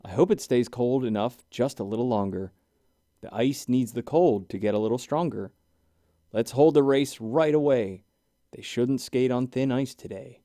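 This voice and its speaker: A man playing a kindly animal judge at storybook games, speaking in a measured announcing manner. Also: mid-range voice, mellow, no room sound, studio quality, close to an everyday voice. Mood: mildly concerned.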